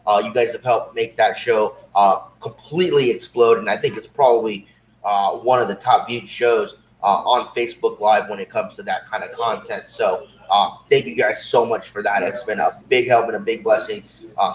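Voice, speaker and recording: A man co-hosting a cigar show, slightly hoarse, chatting casually and rambling with many fillers. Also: medium pitch, slight background noise. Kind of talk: casual livestream chat. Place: cigar shop lounge. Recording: roomy shop with faint ambience.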